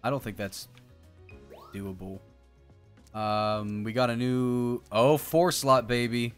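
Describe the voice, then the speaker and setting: A man's voice: mid-range voice, steady tone, slow pace, smooth. A man in his thirties giving casual, playful gameplay commentary as a streamer, full of exclamations and silly nicknames. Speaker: game streamer, co-host. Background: game music.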